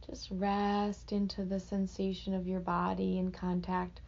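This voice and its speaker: A woman teaching a guided meditation in a gentle, unhurried, reflective way, speaking off the cuff with pauses between phrases. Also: mid-range voice, completely flat delivery, vocal fry, dull audio.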